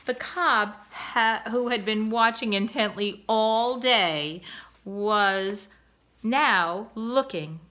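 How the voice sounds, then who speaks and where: A woman, a teacher, reading a children's novel aloud in an expressive, gentle way. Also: on the high side, extremely slow. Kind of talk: storybook read-aloud.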